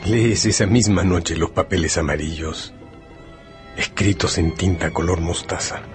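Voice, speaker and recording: A man's voice: sits low, unhurried. A man narrating a radio drama in a scripted, dramatic storytelling style. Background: music.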